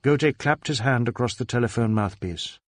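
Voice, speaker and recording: A man narrating a crime novel in a measured, dramatic way. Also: medium pitch, no room sound, studio quality.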